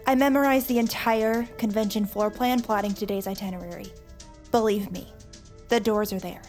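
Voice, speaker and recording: A young woman playing a bossy, determined girl leader with a brisk, assertive manner in an audio drama. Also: mid-range voice, fairly even intonation, a little mellow, faint music behind, lightly acted. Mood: urgent, insistent. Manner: brisk, assertive, sure of herself. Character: bossy, determined teenage girl leading an escape.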